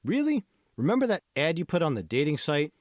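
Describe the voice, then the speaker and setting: Man acting emphatic young pep-talking friend, swooping pitch. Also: wildly swooping pitch, fairly quick, no echo, clean studio sound. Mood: insistent encouragement. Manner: emphatic, prodding, persuasive. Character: confident, encouraging young male college friend, insistent coach. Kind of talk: audiobook character dialogue.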